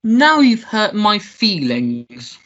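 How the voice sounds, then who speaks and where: A woman playing a foppish, childish upper-class English gentleman in a comic melodrama radio play, pompous and theatrical. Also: mid-range voice, sing-song, slow pace, highs cut off. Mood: huffy, indignant. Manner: pompous, theatrical, babyish gushing.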